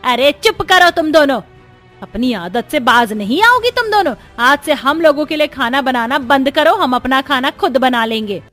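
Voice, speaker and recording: A woman's voice: high-pitched, expressive, normal speed, a bit bright. A woman playing a scolding, exasperated middle-aged mother-in-law in a cartoon story. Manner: nagging, complaining. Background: background music.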